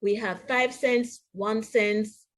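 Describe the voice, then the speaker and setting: A woman with a Jamaican accent reading a storybook to young pupils as their teacher, in an expressive, deliberate way. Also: on the high side. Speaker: primary school teacher. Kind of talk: read-aloud storybook lesson for young children.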